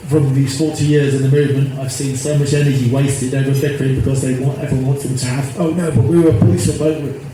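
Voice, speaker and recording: A man's voice: mid-range voice, steady tone, quick pace, hardly any pauses, warm tone. Earnest middle-aged man, echoey noisy recording. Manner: earnest, rambling, repeats himself. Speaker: activist interviewee. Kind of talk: casual interview.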